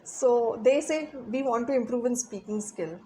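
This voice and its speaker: Middle-aged woman, slightly high voice; earnest, explanatory interview guest who pauses to search for words. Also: mellow, slight background noise. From Pakistan. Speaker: guest, English teacher and trainer. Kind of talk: interview answers.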